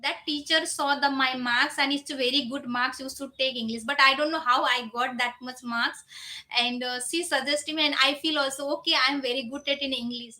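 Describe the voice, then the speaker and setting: Bright-voiced woman, native Nepali speaker. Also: on the high side, low-quality recording. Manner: non-native English with loose grammar, often repeats "you know". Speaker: live stream host, English learner. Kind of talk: casual live chat, answering viewers.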